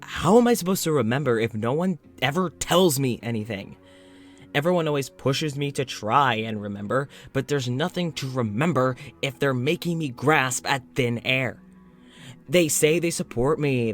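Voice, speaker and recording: A man playing a weary, frustrated doctor with memory loss in an audio drama, recording a spoken journal with halting, sighing delivery. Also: mid-range voice, animated, soft background music, natural voice. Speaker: voice actor playing podcast's lead character.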